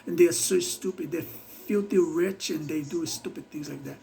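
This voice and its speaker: A Brazilian man with an accent talking to camera in a casual vlog monologue, rambling and halting, with many restarts. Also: on the high side, vocal fry, mellow, soft background music. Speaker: vlogger.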